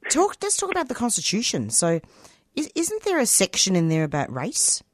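A woman radio interviewer from a non-English speaking background, asking measured, thoughtful questions. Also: mid-range voice, wildly swooping pitch, dry recording, studio quality. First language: non-English language.

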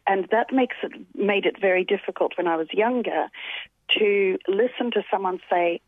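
A woman's voice: mid-range voice, normal speed, no room sound. A woman in her forties, a guest talking thoughtfully with many fillers and restarts, over a call. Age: 40s. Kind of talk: reflective interview talk. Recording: tinny phone-like line, dry.

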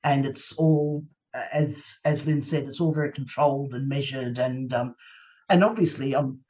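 A woman speaking as a panel guest, conversational and a bit halting, repeating words for emphasis. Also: extremely low pitch, darkish tone. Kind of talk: conversational discussion. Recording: distant from the microphone.

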